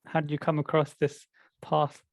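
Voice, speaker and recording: Light-hearted, slightly high-voiced male co-host. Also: completely flat delivery, moderate pace, creaky, no room sound, muffled recording. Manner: curious, light-hearted questioner. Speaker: podcast co-host. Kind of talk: podcast interview. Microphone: video call.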